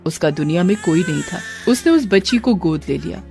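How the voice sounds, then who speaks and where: Animated woman narrating a story. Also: lowish voice, brisk, gravelly, faint music behind. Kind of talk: dramatic scripted cartoon story narration.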